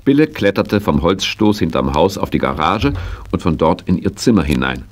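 Guy narrating a kids radio play, really low voice, animated and expressive storyteller. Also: normal speed. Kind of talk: scripted storytelling in a German children's radio play.

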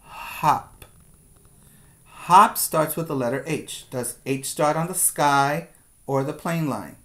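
A middle-aged man giving a preschool lesson as a teacher, with deliberate, repetitive step-by-step instructions, recorded indoors on a webcam. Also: on the high side, fairly bright tone. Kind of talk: instructional video lesson for young children. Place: small room indoors. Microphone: webcam at desk distance.

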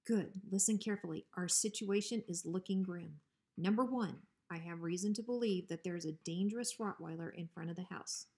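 A woman's voice: lowish voice, a little fast, dark tone, close to an everyday voice. A woman voicing a pompous, bossy but cowardly male ranch dog in a children's storybook. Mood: officious. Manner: officious, blustering.

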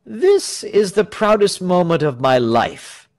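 An earnest, self-conscious folk hero, played by a male narrator, declaims like an untried orator. The voice is raised in pitch and swings widely, with halting pomp suited to a storybook. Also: sing-song, exaggerated voice. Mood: proud, earnest. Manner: declamatory, halting speech-making. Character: bashful hero turned earnest, pompous public orator.

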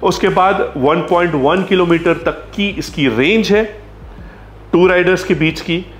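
A man's voice: high-pitched, measured pace, soft background music. A man in his thirties with an Indian accent presents a product review to viewers, explaining directly and checking in with short tags. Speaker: host, motorcycle gear store vlogger. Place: small helmet shop, indoors. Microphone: clip-on lapel mic. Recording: roomy echo, clear background noise.

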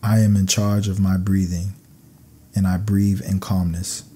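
A man narrating scripted sleep meditation affirmations in a calm, soothing, measured way. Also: deep voice, a little hiss or hum.

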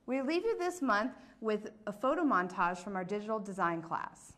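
Woman presenting scripted announcements, mid-pitched. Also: moderate pace, a bit bright. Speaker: host of a college TV show.

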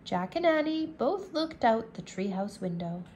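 A woman teacher reading a children's chapter book aloud, slowly and clearly, indoors at a table. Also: on the high side, very animated, a little mellow, highs cut off, a little hiss or hum. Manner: slow, careful, clear reading. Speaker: English teacher.

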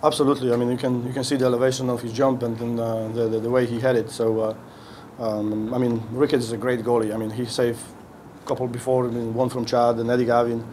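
Halting middle-aged coach at a podium. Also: male voice, mid-range voice, flat delivery, a little mellow, slight background noise. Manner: halting, many restarts while searching for words. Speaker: head coach. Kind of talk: post-game press conference answers. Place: indoor press room. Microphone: podium mic on a stand.

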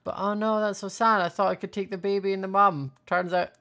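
A woman's voice: medium pitch, fairly even intonation, a little fast, a bit bright. A young woman giving casual, playful gameplay commentary, thinking aloud as she hosts a gaming video. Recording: faint music behind voice. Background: faint music.

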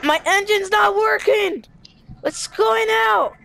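A very high voice playing along in an improvised game roleplay. Short, exclamatory phrases act out the action, with faint noise in the recording. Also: slow, creaky, sharp tone. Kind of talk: dramatic improvised roleplay during gameplay.

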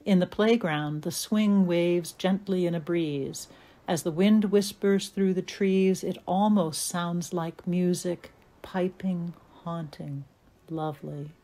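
A woman's voice: on the low side, slow, mellow. A woman narrating the stage directions of an audio play as atmospheric, scripted storytelling.

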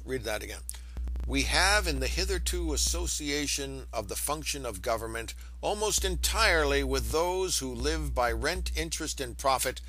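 A man reading a lecture aloud in a deliberate, emphatic way. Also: mid-range voice, fairly bright tone, poor audio. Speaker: reader of an audiobook lecture. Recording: home recording with faint background hum.

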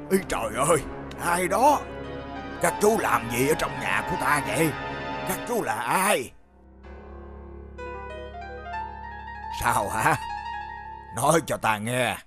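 A gentle, hard-pressed old village shoemaker with a mid-pitched voice. He speaks expressively in children's cartoon style, with clear music behind him. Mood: startled. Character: poor, kindly, overworked older male shoemaker. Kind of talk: children's animated fairy tale acting. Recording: music under the voice.

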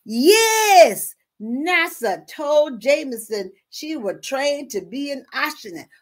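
A woman playing a folksy, exuberant churchgoing storyteller with an American accent, reading to children with husky, exclamatory asides. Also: sits high, big pitch swings, takes it slowly, heavily acted. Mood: excited. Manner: exclamatory, folksy, repeats interjections. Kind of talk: dramatic read-aloud with chatty asides. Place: small room at home.

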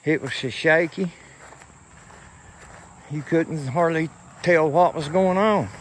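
A man's voice: a little high, slow pace. A man, rambling folksy to viewers as he walks outdoors and films on his phone. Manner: rambling, folksy, talks to viewers while walking. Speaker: vlogger. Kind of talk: casual walking vlog. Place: rural roadside outdoors. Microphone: phone camera held while walking. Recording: outdoor phone audio with rural noise.

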